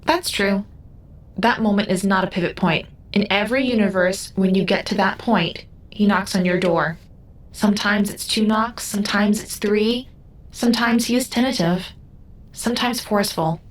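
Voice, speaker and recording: A woman's voice: mid-range voice, moderate pace, close to an everyday voice. A woman playing a young otherworldly female guide in an audio drama, solemn, sad and a little wry. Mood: solemn, explanatory.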